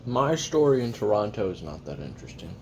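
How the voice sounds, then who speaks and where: A man playing a weary, jaded assassin who records a private confession in a halting, sardonic, casually profane way while eating. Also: fairly low pitch, big pitch swings, darkish tone, slight background noise. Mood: blunt, irritated. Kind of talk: first-person confessional audio roleplay monologue.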